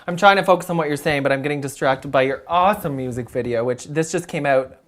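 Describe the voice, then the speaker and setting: A man's voice: fairly high pitch, fast, fairly bright tone. Playful, teasing male interviewer. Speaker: interview host. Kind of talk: casual interview chat.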